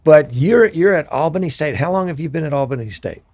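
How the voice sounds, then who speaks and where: A middle-aged man hosting a casual streamed talk show, chatty and quick to joke and laugh with his guest. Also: on the high side, animated, darkish tone, dry recording. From Gulf Coast near Mobile, US. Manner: chatty, jokey, laughs easily. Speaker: show host. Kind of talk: casual interview chat.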